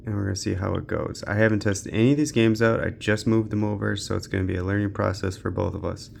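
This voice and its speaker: A man's voice: fairly low pitch, flat delivery, fast, creaky, a little hiss or hum. A man giving casual, unscripted gaming commentary as a channel host, thinking aloud in a laid-back way.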